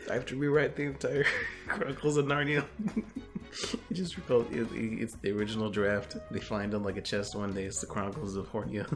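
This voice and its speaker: A man's voice: on the low side, fairly even intonation, a little fast, faint music behind. A man co-hosting a web series in casual, joking banter, rambling and at times mock-grandiose. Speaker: co-host of a web video series.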